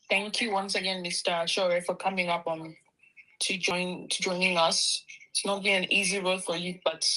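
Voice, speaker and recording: A woman's voice: lowish voice, sharp tone, low-quality recording. A Nigerian woman hosting a live audio discussion, speaking in an earnest, pleading way. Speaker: host.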